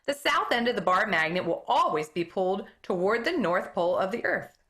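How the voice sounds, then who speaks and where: Bright, lively woman reading a book aloud. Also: mid-range voice, fairly quick. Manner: expressive read-aloud, occasional stumbles and self-corrections. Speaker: elementary school teacher reading aloud.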